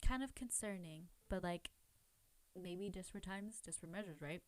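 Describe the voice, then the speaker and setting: A woman's voice: lowish voice, moderate pace, no echo. A woman hosting a casual, chatty true crime podcast, talking informally in a rambling, self-questioning way with lots of filler words. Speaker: podcast host.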